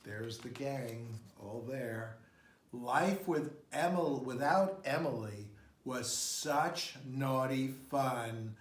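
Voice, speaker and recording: A man reading a children's picture book aloud in an expressive, unhurried storytelling style. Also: mid-range voice, slight background noise. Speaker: storybook reader. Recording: roomy, echoing sound.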